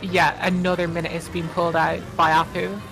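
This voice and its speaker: A woman's voice: fairly low pitch, level tone, brisk, gravelly, over music, rough recording. A woman giving casual, joking live commentary on a video game speedrun in a chatty, playfully mock-dramatic way. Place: live online stream commentary, game audio behind the voice.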